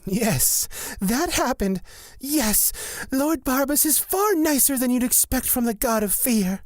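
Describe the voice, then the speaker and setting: A man's voice: very high voice, animated, slow, no echo, clean studio sound, heavily acted. A man playing an anxious, earnest young male blood witch in a fantasy audio drama. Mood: nervous, eager.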